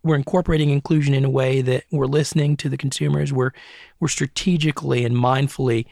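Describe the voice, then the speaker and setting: A middle-aged man answering interview questions as an advertising strategist and academic, measured and often restarting phrases, tagging points with "right?". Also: mid-range voice, fairly even intonation, vocal fry, darkish tone, no echo, studio quality.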